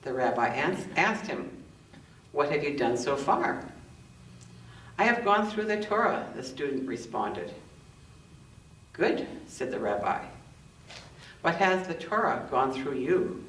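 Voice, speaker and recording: A woman reading scripture and scripted commentary in church, with measured, deliberate phrasing. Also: sits low, very animated, a bit bright. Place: indoors in a church, roomy acoustic. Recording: roomy, distant microphone, faint noise.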